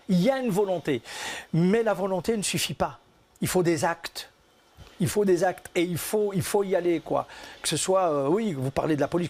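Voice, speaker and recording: A man with a notably high voice speaks with conviction as a guest in a TV studio interview. He stresses his points and repeats them for weight. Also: a little mellow. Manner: earnest, emphatic, repeats key points. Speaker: theatre director and actor.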